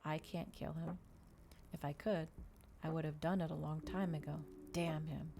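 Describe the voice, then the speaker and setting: A woman's voice: sits low, fairly even intonation, normal speed, noisy background. A woman reading the part of a cold, impatient, bitter male vampire, curt and demanding, in an ASMR storybook reading.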